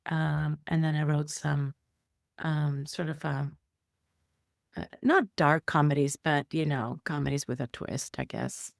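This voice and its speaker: A woman's voice: deep voice, steady tone, a little slow, creaky, no echo, clean studio sound. A middle-aged woman from Iceland, a guest telling her life story in a rambling way with many fillers and restarts. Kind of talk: conversational interview storytelling.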